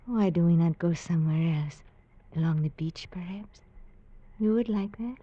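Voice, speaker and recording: Woman playing a young island temptress, timid, coaxing, soft dark tone, vintage radio acting, muffled recording. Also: lowish voice, moderate pace, dry recording, close to an everyday voice. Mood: coy, coaxing. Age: young adult. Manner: soft, coaxing, formal uncontracted phrasing. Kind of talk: 1940s radio drama acting.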